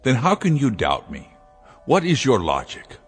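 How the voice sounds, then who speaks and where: A man's voice: medium pitch, huge pitch swings, normal speed, husky, soft background music. A man voicing a commanding, persuasive inventor father in a radio drama. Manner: commanding, coaxing. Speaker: scientist.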